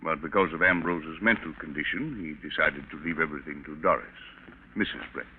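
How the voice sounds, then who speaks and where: An actor playing a composed doctor giving testimony in a 1940s radio drama, measured and formal. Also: male voice, rumbling low. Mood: composed, explanatory. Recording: muffled old radio, faint noise.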